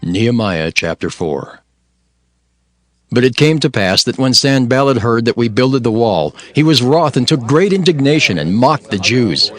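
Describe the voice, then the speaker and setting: A man narrating scripture for an audio drama in a solemn, formal and measured way. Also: mid-range voice, lively intonation, no echo. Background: music.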